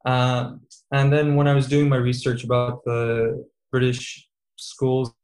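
A man's voice: medium pitch, slow pace, poor audio. Young man, chatty interview guest. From Serbia, raised in Qatar. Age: 20s. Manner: relaxed, many fillers, laughs easily. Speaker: guest, engineering student. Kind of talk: casual interview chat.

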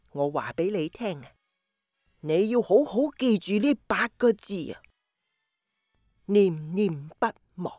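A woman playing a gentle, wistful old grandmother in a radio drama, patiently explaining with sighs. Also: lowish voice, no echo, studio quality. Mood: tender, earnest. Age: older. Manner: slow, sighing, patient explaining. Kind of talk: Cantonese radio drama acting.

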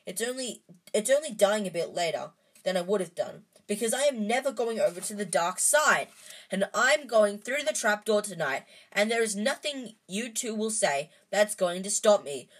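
A woman voicing a desperate eleven-year-old boy wizard who shouts and pleads at his friends. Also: mid-range voice, expressive, normal speed, slightly rough, pitched above the performer's own voice, rough recording. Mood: furious shouting.

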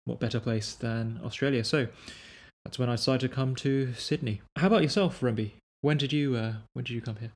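A man in his twenties from the UK, hosting a casual podcast chat in a measured, unhurried way. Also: medium pitch. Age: 20s. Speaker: podcast host.

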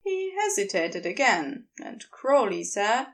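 A woman's voice: fairly high pitch, sing-song, takes it slowly. A woman narrating scripted fiction as a storyteller.

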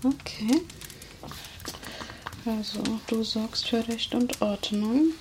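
A woman voicing a teasing, predatory female vampire kidnapper in an ASMR roleplay, whispering softly and flirting close to the mic. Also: on the high side, slow, background noise. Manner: soft whispering, playful and flirtatious. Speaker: ASMR creator.

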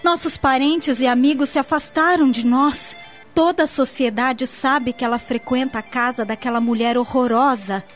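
An actress playing a dutiful, anxious young daughter of a rich family in a radio soap opera. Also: female voice, high-pitched, fairly even intonation, moderate pace, natural voice. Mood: worried. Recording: dull lo-fi audio with music.

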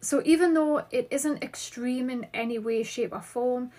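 A woman in her thirties speaks with a Geordie accent, her voice sitting a little high for a woman. She hosts a solo podcast in a chatty, upbeat way, working through questions sent in by listeners. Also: normal speed, warm tone. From northeast England. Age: 30s. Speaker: podcast host.

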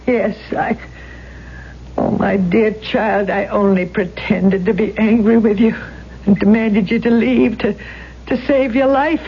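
A woman's voice: mid-range voice, fairly even intonation, close to an everyday voice. Actress as a guarded, troubled old landlady. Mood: grave, confessing. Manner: halting, stern but anxious. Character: guarded, troubled elderly landlady with a secret past. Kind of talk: 1970s radio drama acting. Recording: dull, noisy vintage radio recording.

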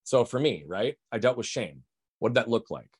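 A man speaking fast and loud, full of energy, as he explains ideas as a podcast guest. His voice sounds close and dry, with no room around it. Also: medium pitch, animated, breathy edge, no echo. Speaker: guest, performance coach. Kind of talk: podcast interview, emphatic explaining.

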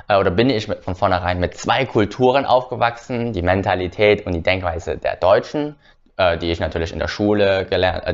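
A young man from Germany hosting his own channel, telling a personal story casually and hesitantly, with frequent filler sounds and restarts. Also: fairly low pitch. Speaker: channel host. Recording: slightly dull lo-fi sound.

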